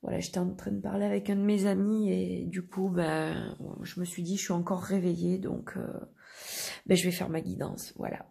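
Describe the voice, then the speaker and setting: A woman with a head cold chats her way through a tarot reading, nasal and congested. Her hoarse voice is gravelly and full of vocal fry, with a husky, rough edge. She rambles along in a relaxed way and often trails off into fillers. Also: on the low side, moderate pace. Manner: rambling, relaxed, many fillers and self-corrections. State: stuffy nose from a cold; hoarse with a sore throat. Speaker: tarot card reader. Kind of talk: casual chatty tarot reading to camera.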